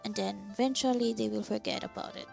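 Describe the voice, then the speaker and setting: A woman's voice: medium pitch, fairly even intonation, fast, creaky, darkish tone. A woman with a Filipino accent giving casual reaction commentary as she plays a game, sometimes mixing in Tagalog. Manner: mixes Tagalog into English. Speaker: streamer playing a game. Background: game music.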